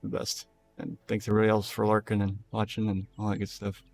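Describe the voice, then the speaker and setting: A tired, hoarse man streaming a game, rambling in loose casual talk and swearing freely. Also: on the low side, completely flat delivery, fast, darkish tone, faint music behind. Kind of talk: casual live-stream chat.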